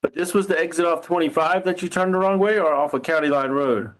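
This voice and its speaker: A man on a recorded phone call, asking probing questions in a halting way, often repeating his words. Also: a little high. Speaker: caller questioning the other person.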